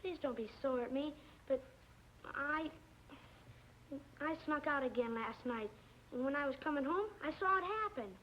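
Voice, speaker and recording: A performer voicing an earnest, excitable young boy in an old television western. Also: high-pitched, moderate pace, long pauses, dull audio, lightly acted. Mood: pleading. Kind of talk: 1950s television western acting. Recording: faint noise on old soundtrack.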